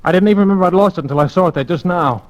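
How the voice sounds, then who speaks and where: A man's voice: high-pitched, racing, no echo, lightly acted. A man playing a frightened young suspect. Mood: shaken. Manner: hesitant, shaken delivery. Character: young male suspect Tom, scared and bewildered. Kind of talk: 1940s radio acting. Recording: muffled old radio broadcast.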